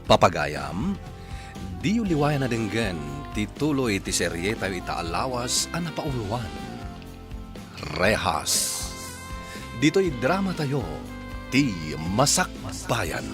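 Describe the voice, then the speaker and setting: A male radio drama announcer with an Ilocano accent and a medium-pitched voice. He narrates in a scripted, dramatic style, slowly and with lively swings in pitch, over prominent music. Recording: music under the voice.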